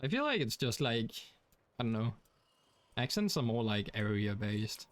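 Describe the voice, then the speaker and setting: Relaxed Danish-accented man streaming. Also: mid-range voice, moderate pace. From Denmark. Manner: relaxed, rambling, many fillers like "like". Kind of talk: casual gaming stream chat.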